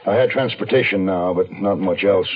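Low-voiced, brooding noir fugitive played by an actor. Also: male voice, close to an everyday voice. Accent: American. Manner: brooding, deliberate, dramatic storytelling. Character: hunted, weary man on the run, narrating his own story. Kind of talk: 1970s radio mystery drama, first-person noir narration. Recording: lo-fi phone-like 1970s radio, faint music.

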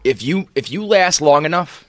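A young man with a bright, forceful voice talking fast and loose on a podcast. He gets excited, stumbles over repeated words and swears often. The sound is close and dry. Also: a little high, no room sound. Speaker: podcast co-host. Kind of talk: casual chat.

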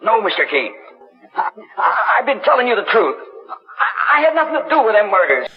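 An actor playing a nervous, folksy taxi driver in a 1940s radio drama, hesitant and stammering. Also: male voice, sits high, very animated, brisk. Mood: nervous, defensive. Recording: dull old 1940s radio recording.

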